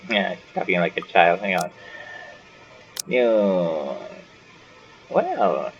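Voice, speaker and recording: A man's voice: sits low, expressive, drawn-out words. A man giving casual gaming commentary, muttering and thinking aloud as he plays. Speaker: gaming video host. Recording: dull lo-fi mic with faint noise.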